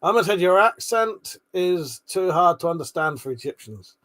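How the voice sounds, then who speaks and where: Higher-pitched British man chatting on a livestream. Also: expressive, moderate pace, a bit bright. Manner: informal, rambling, sweary. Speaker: livestream host. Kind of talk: casual livestream chat, reading and answering viewer comments.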